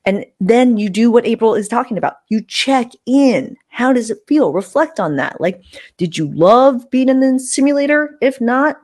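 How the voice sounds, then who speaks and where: A woman's voice: fairly high pitch, lively intonation, normal speed, darkish tone. A woman hosting a podcast in casual, chatty style, acting out little asides as she talks on a close desk mic. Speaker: podcast host interviewer. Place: indoor room on a video call. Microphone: close desktop mic with furry windscreen.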